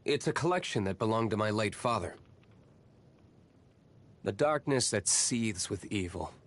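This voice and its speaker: An actor playing a brooding, aloof young nobleman vigilante in a video game, with a terse, guarded, measured manner. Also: on the low side, lively intonation. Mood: guarded, reserved. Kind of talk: scripted video game character lines.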